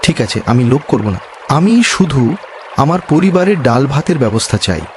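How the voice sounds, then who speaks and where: A man's voice: fairly high pitch, sing-song, a little fast, a little hiss or hum. A man voicing a humble, earnest poor village husband in a cartoon, speaking in a cautioning, moralising way. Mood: awed. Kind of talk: cartoon voice acting.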